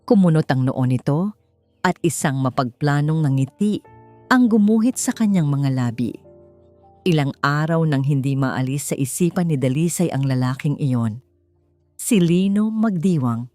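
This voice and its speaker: A Filipino woman narrates a dramatic story in a fairly low, mellow voice. Her delivery swings expressively in pitch, with soft music underneath. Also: animated, measured pace, no echo. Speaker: audiobook narrator.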